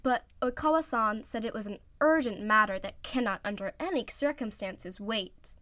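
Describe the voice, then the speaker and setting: A woman's voice: fairly high pitch, normal speed, a bit bright, close to an everyday voice. A woman voicing a reluctant, weary teenage boy teammate with a resigned manner in a story reading.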